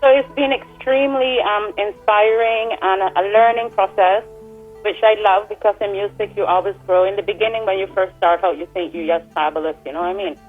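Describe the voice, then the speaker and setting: A woman's voice: mid-range voice, steady tone, brisk, phone-quality sound, soft background music. Guest singer, woman, 40s, Swedish, easygoing talker. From Sweden. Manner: warm, chatty, laughs easily. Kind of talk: casual radio interview.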